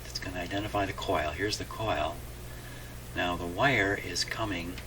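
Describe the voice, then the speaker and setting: A man's voice: deep voice, normal speed. A man doing unscripted hands-on repair commentary, thinking aloud in a hesitant, puzzled way as he works through a problem. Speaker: radio repair host. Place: repair shop, voice away from the mic. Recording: distant mic, clear background noise.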